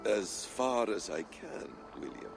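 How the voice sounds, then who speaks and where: An actor playing a calm, calculating ancient man in a video game, courteous but superior, with measured formal speech. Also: on the low side, vocal fry, over music, full character voice. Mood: cool, wry. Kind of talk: dramatic video game acting.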